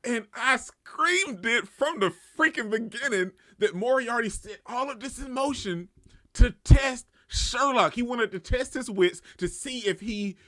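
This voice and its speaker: A man giving a casual, excitable reaction and review as a channel host, often repeating himself for emphasis. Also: high voice, no room sound, clean studio sound.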